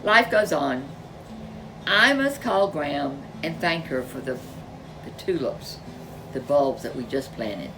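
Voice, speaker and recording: A woman voicing a gentle, soothing mother bear in a children's storybook. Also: low-pitched, animated, slow, distant mic, noisy background. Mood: resigned.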